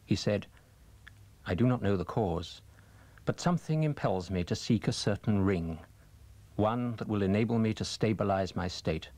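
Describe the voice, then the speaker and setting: Low-pitched man reading a story, dry sound. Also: animated, darkish tone, no room sound. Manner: measured, deliberate, formal. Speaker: reader. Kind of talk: scripted story narration.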